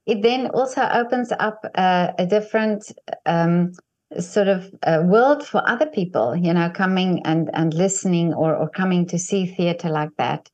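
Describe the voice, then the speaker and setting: A woman's voice: lowish voice, moderate pace, a little mellow, muffled recording. A woman with a South African accent, a native Afrikaans speaker, hosting an interview in an encouraging way, often murmuring agreement. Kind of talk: conversational interview.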